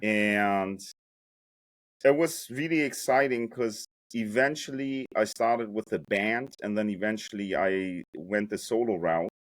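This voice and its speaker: A man's voice: lowish voice, unhurried, dry recording. A man in his forties from Germany, telling his life story with jokes and loose American slang as a podcast guest. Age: 40s. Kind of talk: casual storytelling.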